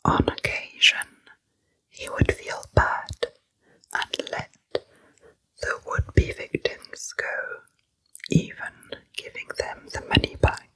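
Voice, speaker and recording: A woman narrating true crime in ASMR style, in a hushed, breathy whisper with deliberate storytelling. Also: low-quality recording. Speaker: narrator, ASMR channel host.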